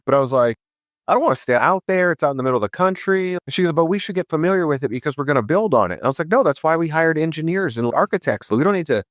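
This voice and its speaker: A man's voice: fairly high pitch, very fast, no echo, clean studio sound. Chatty man from Hawaii telling stories. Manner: chatty, animated voice when quoting himself. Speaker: guest. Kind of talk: casual storytelling.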